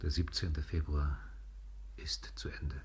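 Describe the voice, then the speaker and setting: An actor playing a grave chronicler, narrating a radio play in a solemn, measured way. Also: male voice, a bass voice, fairly even intonation, slight background noise, exaggerated voice.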